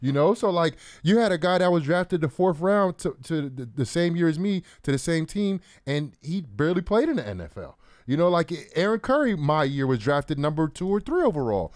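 Male sports co-host, thirties, ex-player style analysis, fairly high pitch, repeats phrases conversationally, dry close-miked studio. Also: sing-song, a little fast, a little mellow, no echo. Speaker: former pro football player, co-host. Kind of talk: casual sports talk, analysis. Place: small podcast studio. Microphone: close broadcast mic on boom arm.

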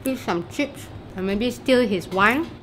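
A woman's voice: on the high side, animated, slow, slight background noise, low-quality recording. A young woman filming in a small home kitchen, teasing her partner playfully and mixing in particles. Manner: playful, teasing, giggly, Malaysian-English particles. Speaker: vlogger, camera woman. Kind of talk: casual teasing banter. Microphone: handheld camera close. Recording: music playing over the voice. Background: background music.

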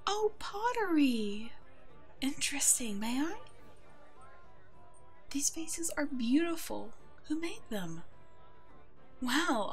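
A woman playing a kind, protective werewolf in an ASMR roleplay drama, speaking gently and reassuringly as if to a child. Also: high-pitched. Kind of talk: ASMR roleplay audio drama, one-sided dialogue.

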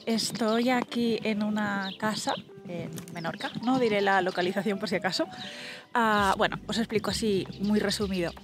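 A woman's voice: medium pitch, normal speed, over music. A woman in her thirties, talking casually and rambling with many asides while showing a house to her selfie camera outdoors. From Spain. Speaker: vlogger and presenter. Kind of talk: casual vlog house tour. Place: outdoors in a country garden. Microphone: small camera mic held at arm's length.

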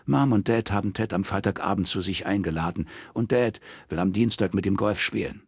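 A man plays a sincere grown woman, an old friend in an audiobook, who speaks simply. The pitch is raised from his own and the sound is close and dry. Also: brisk, breathy edge, no room sound. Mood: sympathetic. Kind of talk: audiobook character dialogue in German.

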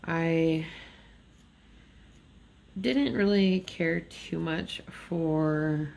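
A woman's voice: low voice, fairly even intonation, very slow, vocal fry, a little mellow. A woman just woken up, chatting in a rambling, nasal voice with fillers and small laughs while she works on a craft at a table indoors. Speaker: diamond painting vlogger.

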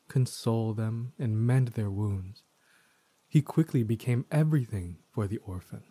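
A man playing a menacing, tormented villain spirit in a stolen body, in a theatrical, deliberate audio drama monologue that dips toward whispers. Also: mid-range voice, warm tone, breathy edge, dry recording.

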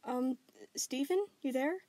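A woman voicing a gentle, uncertain young woman who speaks hesitantly and with concern. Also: high-pitched, takes it slowly, no room sound, voice pushed up.

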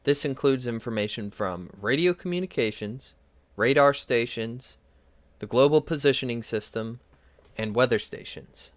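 A man's voice: medium pitch, moderate pace, dry recording. A young man reading a scripted lecture as a student presenter. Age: young adult.

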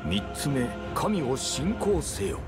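An actor playing a middle-aged leader of a hunter party, measured and authoritative, in a game cutscene style. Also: male voice, mid-range voice, huge pitch swings. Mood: wary, solemn. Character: commanding, deliberate male leader of a hunter party. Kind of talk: Japanese game cutscene voice acting. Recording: music behind the voice.

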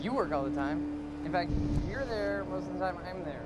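A man's voice: high voice, expressive, a little fast, over loud music. An actor playing a cocky young male security tech with a wry, sarcastic manner.

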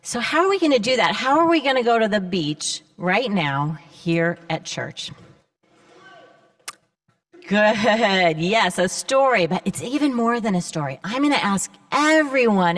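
An older woman leading worship in a church, guiding all ages gently through imagery in an unhurried way. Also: mid-range voice, sing-song. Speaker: worship leader. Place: large church sanctuary. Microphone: handheld microphone.

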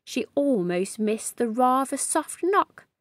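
A woman hosting a children's story time, reading aloud in an expressive, deliberate storytelling manner. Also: high-pitched, no room sound. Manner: animated, deliberate storytelling delivery. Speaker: children's storybook reader and host.

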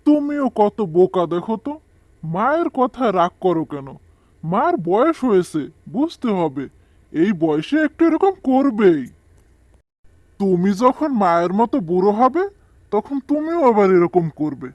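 Man acting a high-pitched, animated young husband. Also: measured pace, dry recording, highs cut off. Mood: coaxing. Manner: coaxing, reasoning. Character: exasperated but placating young husband. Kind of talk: cartoon dub acting.

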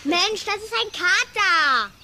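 Eager, stubborn small boy, female-sounding performer, piercingly high and bright, children's audio drama. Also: expressive, slow, slight background noise, full character voice. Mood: insistent. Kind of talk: German children's radio drama acting.

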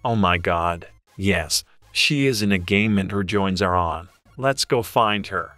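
Low-voiced sad, loyal young guy acted by a man. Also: unhurried, sharp tone, no room sound, soft background music. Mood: sad, longing. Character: sad, loyal young male best friend, self-blaming, protective. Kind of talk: dramatised online roleplay story.

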